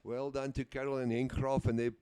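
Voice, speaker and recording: Guy doing sports commentary, laid back, lots of uhs, mellow voice. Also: medium pitch, little pitch movement, fairly quick, clean tone. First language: Afrikaans. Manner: easygoing, chatty, with frequent uhs and name slips. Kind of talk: live play-by-play commentary.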